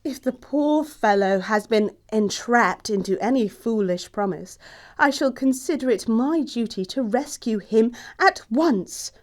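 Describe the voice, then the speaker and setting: A woman's voice: fairly high pitch, lively intonation, a little slow. A woman acting a snobbish young English society lady in an audio play comedy, with pompous, precise, disdainful delivery. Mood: haughty, cutting. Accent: English upper-class.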